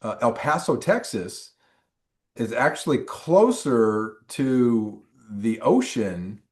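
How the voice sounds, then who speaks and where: A man's voice: mid-range voice, lively intonation, slow pace, darkish tone. Chatty male instructor who rambles. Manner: rambling and chatty, with many ums and restarts. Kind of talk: casual chat with students in a live class.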